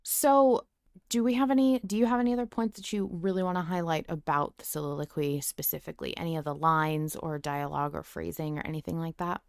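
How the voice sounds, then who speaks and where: A woman's voice: deep voice, steady tone, brisk, creaky, clean tone, no room sound, studio quality. A woman hosting a podcast, prompting her guest with open questions. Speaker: podcast host.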